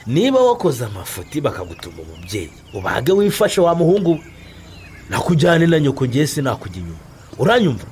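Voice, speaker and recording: Grumbling village father, exclamatory and scolding, hugely swinging pitch, faint rooster and farmyard noise. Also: huge pitch swings, fast talker, a little mellow. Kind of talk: radio drama dialogue.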